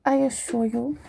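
A woman's voice: high-pitched, mellow, slight background noise, full character voice. A woman putting on a giggly German-accented tavern maid. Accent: put-on German accent. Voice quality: breathy, whispered. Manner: giggly, teasing, slow. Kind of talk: roleplay audio.

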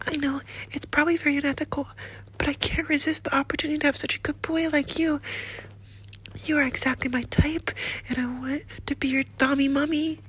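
A woman voicing a doting, flirtatious nurse in a whispered roleplay, with a soft, breathy, slow and coaxing delivery. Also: high voice, little pitch movement, rough recording. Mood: whispery, doting. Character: doting, flirtatious female nurse, motherly and teasing.

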